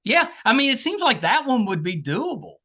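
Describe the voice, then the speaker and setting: A man hosts a casual interview. His voice is bright and forward, with lively swings in pitch. He puts questions and remarks in an informal, conversational way. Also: normal speed. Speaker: podcast interviewer.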